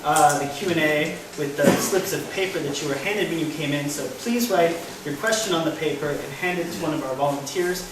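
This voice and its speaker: A man moderating a question-and-answer session after a talk, speaking in a measured, polite way with some fillers. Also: fairly high pitch. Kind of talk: reading audience questions. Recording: echoey hall, mic far off, noisy.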